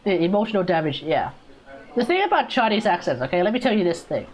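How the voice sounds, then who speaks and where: A woman's voice: lowish voice, big pitch swings, fast talker, a little mellow, poor audio. A young woman with an Australian accent, chatting loosely as she streams a game, rambling and repeating herself. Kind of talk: casual livestream chat while gaming.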